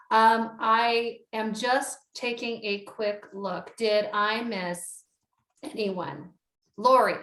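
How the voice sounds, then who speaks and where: A woman's voice: medium pitch, a bit bright. A Canadian woman hosting a virtual networking event, chatty, upbeat and encouraging. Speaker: event host. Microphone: video call. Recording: echoey room on a video call.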